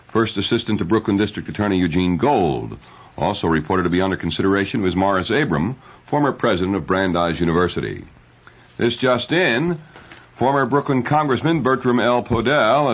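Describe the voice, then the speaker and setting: A man reading news and ads as a radio newscaster in a measured 1970s announcer style. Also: fairly low pitch, breathy edge. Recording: dull, lo-fi 1970s radio broadcast recording.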